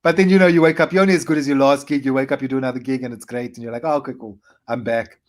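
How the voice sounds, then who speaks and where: A South African man in his forties, a comedian telling his story in a casual interview and acting out his past self in quick asides. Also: mid-range voice, steady tone. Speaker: comedian guest.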